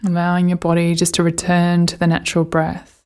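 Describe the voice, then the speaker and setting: A woman leading a guided meditation, giving gentle, soothing instructions with unhurried pauses. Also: fairly low pitch, monotone, clear, resonant tone.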